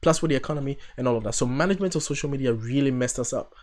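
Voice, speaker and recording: A man in his thirties hosts a reaction video. He chats at a middling pitch, wandering off on tangents and teasing with playful sarcasm. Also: very fast, a little mellow. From Ghana. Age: 30s. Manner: chatty, rambling, playfully sarcastic. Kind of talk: casual reaction commentary.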